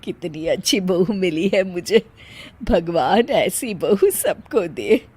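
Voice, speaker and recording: A woman's voice: fairly low pitch, expressive, takes it slowly, husky, exaggerated voice. A woman playing an older, easily exasperated mother-in-law with an emphatic delivery in a comic story. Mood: affectionate. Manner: emphatic, loud, scolding or calling out. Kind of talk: comic Hindi moral-story dramatization.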